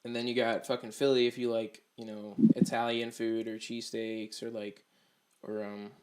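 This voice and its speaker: A man's voice: mid-range voice, level tone, moderate pace, darkish tone. Chatty, profane male podcast host. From Delaware. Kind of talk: casual podcast interview.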